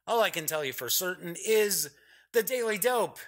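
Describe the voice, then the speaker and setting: A man's voice: sits high, lively intonation, moderate pace, a bit bright. A man hosting an online gaming show, talking casually to viewers in a folksy, chatty, rambling way.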